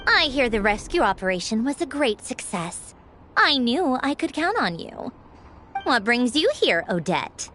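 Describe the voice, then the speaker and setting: A gracious, lively noblewoman. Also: on the high side, a little slow, heavily acted. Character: poised noblewoman official, gracious and knowing. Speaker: game character. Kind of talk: game voice acting. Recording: faint game music behind.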